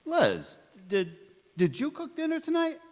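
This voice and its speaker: Man acting a sheepish, animated radio husband. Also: very high-pitched, unhurried, heavily acted. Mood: teasing, placating. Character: boyish, babied, sheepish sitcom husband. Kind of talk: 1940s radio comedy acting, staged revival. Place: community theatre stage in a church. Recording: echoey hall, long reverb.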